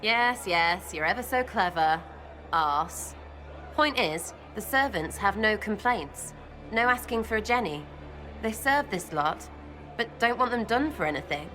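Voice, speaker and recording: A British actress playing a cheeky, blunt young elf rogue in a fantasy game, talking in clipped, irreverent slang. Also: female voice, mid-range voice, expressive, unhurried, bright, forceful tone, faint music behind. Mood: cheeky, suspicious. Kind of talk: video game dialogue acting.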